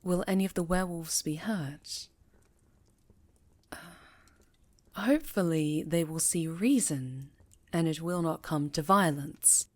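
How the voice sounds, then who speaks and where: Woman voicing a witch coven leader, stern, lowish pitch, formal, measured, commanding, fantasy ASMR roleplay. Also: a little mellow. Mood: grave, measured. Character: stern, commanding female high enchantress leading a witch coven.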